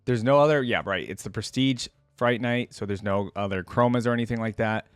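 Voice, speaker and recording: A man's voice: on the low side, fairly quick, smooth, dry recording. A man hosting a reaction video, commenting casually and thinking aloud in an informal way as he goes.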